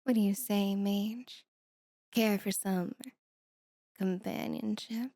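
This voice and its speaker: A woman's voice: mid-range voice, steady tone, takes it slowly, mellow, dry recording, clean studio sound. A woman voicing a sardonic, teasing female demon with a condescending, flirty manner in an ASMR roleplay. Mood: seductive, amused.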